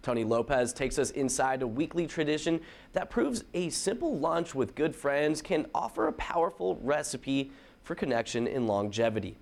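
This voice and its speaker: A man introducing a news feature as the anchor, in a measured, scripted way. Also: medium pitch, sing-song, darkish tone, slight background noise.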